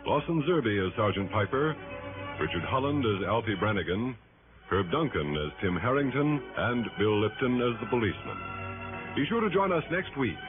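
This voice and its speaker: Casting: male 1960s network announcer, scripted credits, lively inflection, narrow old broadcast sound. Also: mid-range voice. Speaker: radio announcer. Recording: muffled 1960s radio broadcast with music.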